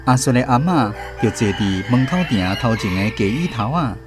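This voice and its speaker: A man's voice: medium pitch, animated, normal speed, over music. A man with a Taiwanese accent hosting and narrating a radio storytelling programme from a script. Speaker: radio host and drama narrator.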